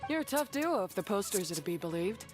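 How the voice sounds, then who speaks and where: A woman's voice: mid-range voice, sing-song, fast talker, natural voice. A woman playing a blunt, matter-of-fact, self-deprecating beast tamer in a video game. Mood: cool, appraising. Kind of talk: English video game voice acting. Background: game music.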